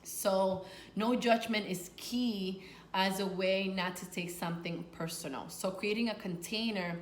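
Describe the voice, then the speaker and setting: Woman, Dominican from New York, earnest conversational coaching, mid-pitched voice, echoey room, faint background noise. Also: fairly even intonation, unhurried. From Dominican, grew up in New York. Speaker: sex and life coach, video host. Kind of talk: conversational coaching advice to camera.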